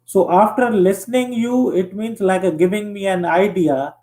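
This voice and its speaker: A man's voice: high voice, moderate pace, dark tone. A man in a casual conversation who talks hesitantly and leans on fillers.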